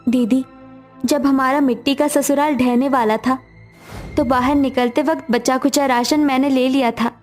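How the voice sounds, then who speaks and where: A devoted, hopeful young daughter-in-law from a poor cartoon household, played by a woman, speaks in a highish voice. There is music behind her lines. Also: steady tone, normal speed, lightly acted. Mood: caring. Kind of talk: cartoon dialogue acting.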